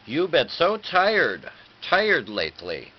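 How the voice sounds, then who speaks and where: A man's voice: on the high side, huge pitch swings, slow pace, voice pushed up, full character voice. A man voicing a simple, kindly, chatty housewife in a story reading, with folksy phrasing. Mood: coaxing, concerned. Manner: folksy, plain, colloquial phrasing. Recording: dull lo-fi recording with clear background noise.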